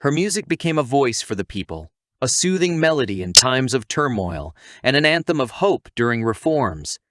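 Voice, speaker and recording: A man's voice: medium pitch, very animated, normal speed, a bit bright, no echo, studio quality. A man reading a scripted recap narration in a wry, tongue-in-cheek storytelling manner.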